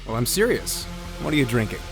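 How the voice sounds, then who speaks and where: A man's voice: medium pitch, sing-song, brisk, vocal fry, soft background music, poor audio. A man playing a persistent, earnest flirt at a nightclub bar in an audio drama. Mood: smooth, flirty.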